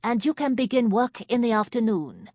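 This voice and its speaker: A woman's voice: on the high side, big pitch swings, a little mellow, no room sound, clean studio sound, voice pushed up. A woman putting on a haughty French older woman. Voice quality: slow, drawling, contemptuous.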